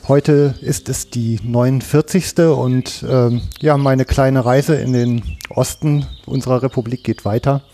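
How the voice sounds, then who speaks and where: A man's voice: medium pitch, steady tone, measured pace, few pauses, dark tone, slight background noise. A man hosting a hunting podcast, talking casually with many hesitations and fillers. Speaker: podcast host.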